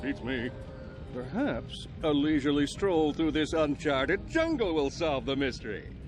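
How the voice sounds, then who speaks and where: Bumbling, self-important space hero, game cutscene, grandiose, highly animated, music underneath. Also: male voice, measured pace. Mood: deflated. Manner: grandiose, melodramatic. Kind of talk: animated video game acting.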